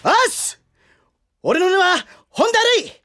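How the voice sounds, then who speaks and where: Very high, boisterous teenage boy. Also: big pitch swings, unhurried, a bit bright, faint music behind, exaggerated voice. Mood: hearty, eager. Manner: hearty, declaiming like a team captain. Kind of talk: Japanese audio drama voice acting.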